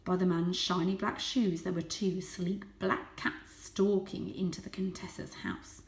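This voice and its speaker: A woman reading a children's book as dramatic narration, lingering on key words and hushing for suspense. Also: fairly low pitch, flat delivery, a little slow. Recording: roomy, echoey sound.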